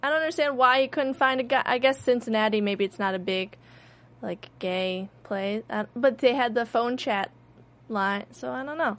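A woman's voice: a little high, normal speed, fairly bright tone. Chatty woman podcast co-host. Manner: chatty, trailing filler words. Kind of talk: casual podcast chat.

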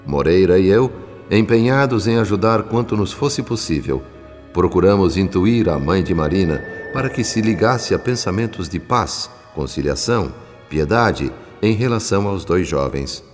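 Radio soap narrator: grave benevolent Brazilian male spirit, low-voiced, solemn, deliberate, long reverb. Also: lively intonation. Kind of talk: scripted dramatic narration in a radio soap opera. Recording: long reverb, music underneath.

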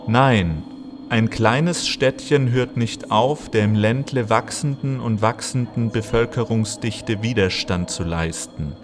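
A man reading a scripted literary text as narrator, measured and deliberate, in short phrases. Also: lowish voice.

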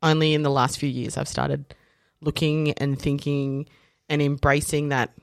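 A woman's voice: low voice, measured pace, creaky, no echo, studio quality. A woman, a podcast guest telling stories in casual conversation, full of fillers and self-corrections. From South Africa, raised in Australia. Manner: animated storytelling, acts out other people's lines.

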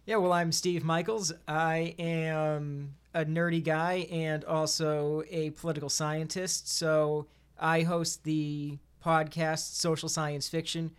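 Higher-voiced male podcast guest, casual. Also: flat delivery, takes it slowly, few pauses, clear, resonant tone. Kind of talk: casual chat.

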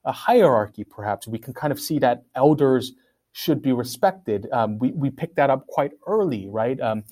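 A man who grew up in Korea and speaks Korean as his first language talks through philosophical ideas in a conversational way. He pauses often, restarts his sentences and fills the gaps with ums and likes. His voice sits in an ordinary middle register. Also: medium pitch, expressive, warm tone. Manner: thoughtful, many fillers and restarts. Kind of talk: casual academic discussion.

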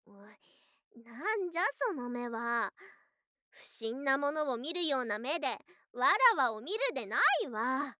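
High-pitched haughty snake-spirit girl. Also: animated, a little slow, bright, forceful tone, no room sound, studio quality, full character voice. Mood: indignant, proud. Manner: archaic haughty old-fashioned speech, theatrical. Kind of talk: Japanese ASMR situation voice drama.

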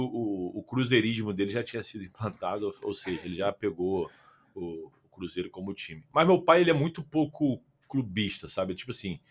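A young man with a Carioca accent and a fairly low voice, chatting on a podcast over a remote call. He rambles, leans on fillers and restarts his sentences often. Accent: Carioca Portuguese. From Rio de Janeiro, Brazil. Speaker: podcast guest, football content creator. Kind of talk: casual podcast conversation.

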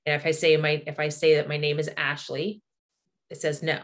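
A woman in her forties with a distinctly low voice speaks in casual conversation. She explains things as a practising energy healer would to someone across from her. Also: monotone, brisk. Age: 40s.

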